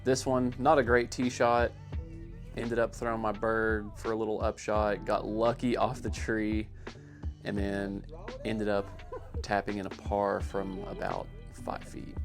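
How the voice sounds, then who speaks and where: Low-pitched man narrating sports highlights over music. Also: level tone, a little slow. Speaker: amateur disc golfer narrating own highlights. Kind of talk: casual voice-over commentary.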